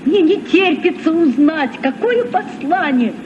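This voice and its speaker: Very high-voiced, eager boy captain. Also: a little slow. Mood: curious, excited. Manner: excited, exclamatory. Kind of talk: Soviet children's radio play acting. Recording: muffled, noisy old radio recording.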